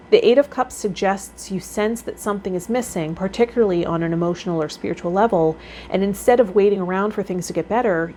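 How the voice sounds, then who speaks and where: A woman's voice: medium pitch, a little mellow. Woman reading tarot meanings, noisy recording. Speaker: tarot vlogger and healer hosting a series. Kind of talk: reading tarot meanings aloud with casual commentary. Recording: noticeable background noise.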